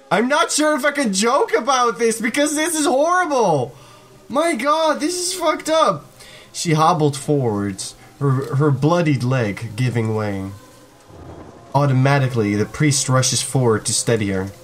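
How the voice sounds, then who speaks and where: A man hosting a gaming video, reading a visual novel aloud in a theatrical, expressive way. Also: a little high.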